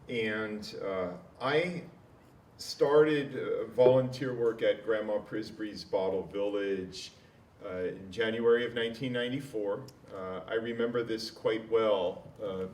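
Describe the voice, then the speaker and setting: Middle-aged guy on a panel outdoors, kinda low voice, lots of uhs, echoey and a bit far off. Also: darkish tone. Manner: storytelling, many "uh" fillers, self-deprecating. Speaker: architectural historian, panel guest. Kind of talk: public panel discussion. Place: outdoor courtyard. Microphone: handheld mic, far camera. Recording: echoey, distant, faint background noise.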